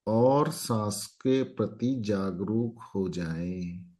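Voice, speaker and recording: Very slow-paced male meditation guide. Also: fairly low pitch. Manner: calm, instructing in short phrases with long pauses. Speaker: meditation instructor.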